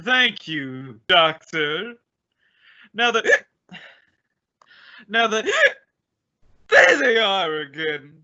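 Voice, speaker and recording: A man's voice: high-pitched, very animated, very slow, pauses often, sharp tone, full character voice. An actor playing a pompous, blustering, flustered male school principal who stammers indignantly in old-time radio comedy style. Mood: grumbling. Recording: dull lo-fi recording.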